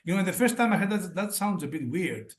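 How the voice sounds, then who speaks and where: A man's voice: high voice, expressive, brisk. A man in his forties from Greece, a native Greek speaker, chatting as an interview guest and often restarting his phrases. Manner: restarts phrases often, fillers like "you know". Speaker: guest startup advisor. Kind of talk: casual interview chat.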